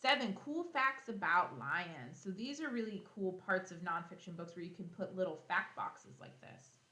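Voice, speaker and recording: Female elementary teacher for kids' read-aloud: animated pitch, explanatory asides, roomy echoing recording. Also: moderate pace. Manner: expressive, explanatory, thinks aloud for young pupils.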